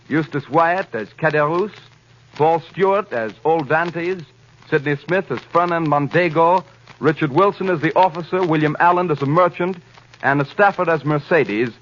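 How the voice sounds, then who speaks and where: A man announcing on radio in a formal, measured, carefully enunciated 1930s style. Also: fairly high pitch, a bit bright. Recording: muffled 1930s radio broadcast over orchestral music. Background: orchestral music.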